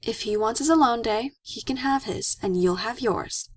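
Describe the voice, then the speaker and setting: A woman's voice: a little high, normal speed, breathy edge. A woman playing a strong-willed, suspicious teenage girl in an audiobook drama, emphatic and easily exasperated. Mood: self-scolding. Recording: faint background noise.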